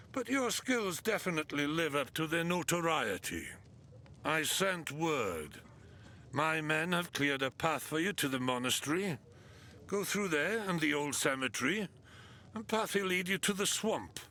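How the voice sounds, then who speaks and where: An actor playing a stern, weary military commander in a fantasy video game, curt, authoritative and sardonic. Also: male voice, fairly high pitch, sharp tone, exaggerated voice.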